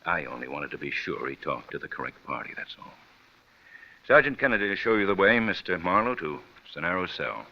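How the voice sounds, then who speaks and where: An actor playing a stern, shrewd prison warden with hidden compassion, speaking formally and deliberately in mid-century radio drama style. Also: male voice, low-pitched, big pitch swings, noisy background. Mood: quietly compassionate. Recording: dull old radio recording.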